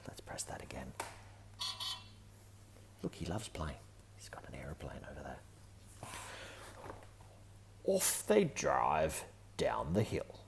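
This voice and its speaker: A man reading a children's picture book aloud, with gentle, often whispered asides. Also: rumbling low, wildly swooping pitch, slow, vocal fry, long pauses, slight background noise.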